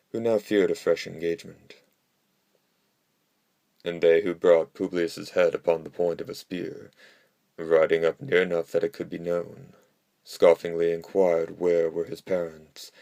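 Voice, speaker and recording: A man reading classical history aloud as scripted narration, in a measured monotone. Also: low-pitched, gravelly, no echo. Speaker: audiobook narrator.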